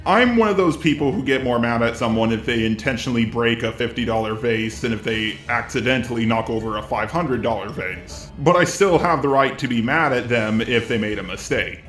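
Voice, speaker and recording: A man giving scripted online drama commentary in a measured, conciliatory way. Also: mid-range voice, a bit bright, reverberant. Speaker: YouTube commentator.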